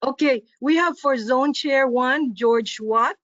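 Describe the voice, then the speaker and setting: A woman with a Filipino accent reading a poem and then a list of names at a club meeting, careful and slow, with small self-corrections. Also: high-pitched, fairly bright tone, no echo, muffled recording. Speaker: club officer presenting at a district meeting.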